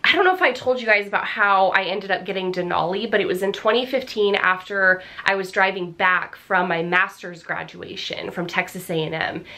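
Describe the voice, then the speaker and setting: A woman in her thirties vlogging casually from her home office, slightly hoarse after losing her voice, chatty and upbeat with lots of fillers. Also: medium pitch, moderate pace, hardly any pauses, bright, forceful tone. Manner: chatty, upbeat, many fillers and little laughs. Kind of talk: casual vlog chat. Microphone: camera on the desk facing her.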